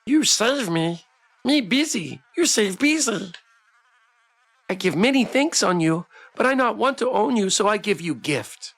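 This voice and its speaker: High squeaky little fairy. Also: male voice, big pitch swings, measured pace, a bit bright, heavily acted. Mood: grateful. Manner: clipped broken grammar. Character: small scrappy fairy speaking broken English, grateful but cocky.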